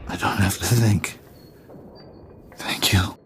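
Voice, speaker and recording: An actor playing a drugged, confused and compliant slave in a video game, with halting, disjointed, stammering speech. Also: male voice, deep voice, fairly even intonation, a little hiss or hum. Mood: lost, compliant. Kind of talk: video game character acting.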